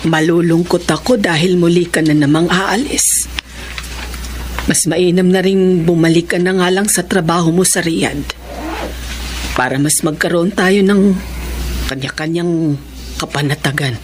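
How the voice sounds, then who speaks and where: A woman playing an older, sorrowful mother who calmly counsels her grown son in a drama. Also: fairly low pitch, measured pace, long pauses, a little hiss or hum, close to an everyday voice. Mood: sad, counselling. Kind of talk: slow dramatic acting.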